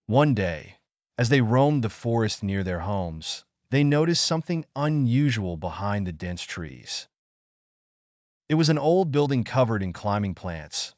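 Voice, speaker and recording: A man's voice: medium pitch, darkish tone, dry recording. A man narrating a scripted bedtime story for children.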